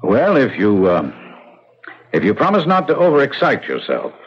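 Cautious radio-play doctor, very varied intonation. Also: male voice, medium pitch, huge pitch swings, husky. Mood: reassuring. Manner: measured, hesitant, professional. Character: cautious, protective male hospital doctor, kindly authority. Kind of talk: 1970s radio drama acting. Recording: muffled old radio broadcast.

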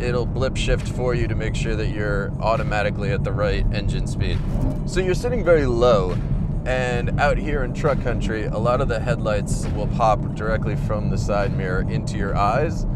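A chatty man in his thirties talks through a sports car's features as he drives, upbeat and easygoing. The engine roar and road noise sit loud under his mid-pitched voice. It sounds like a small camera mounted inside the cabin. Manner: enthusiastic, chatty, laughs easily. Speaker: car reviewer. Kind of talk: casual car review while driving. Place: inside a sports car on open desert roads. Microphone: small camera mounted in the car.

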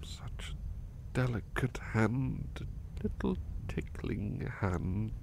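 A man playing a gentle, sleepy, devoted servant in an intimate ASMR roleplay, speaking softly and hesitantly in murmurs and whispers. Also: lowish voice, big pitch swings, very slow, gravelly, exaggerated voice. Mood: tender, soothed. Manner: soft, drowsy, hesitant, often murmured or whispered. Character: gentle, sleepy, devoted male servant comforting and being comforted. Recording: noticeable background noise.

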